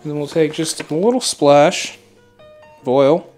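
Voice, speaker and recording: A man's voice: on the high side, a little slow, faint music behind. Laid-back man teaching a home recipe. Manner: relaxed and chatty, many fillers, mild swearing. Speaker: cooking channel host. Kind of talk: casual cooking tutorial. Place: home kitchen, indoors.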